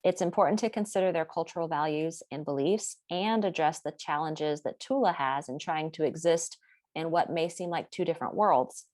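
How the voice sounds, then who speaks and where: A woman presenting a class project in a steady, explanatory way, partly read from notes. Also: low-pitched, normal speed, dry recording, clean studio sound. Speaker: student presenter.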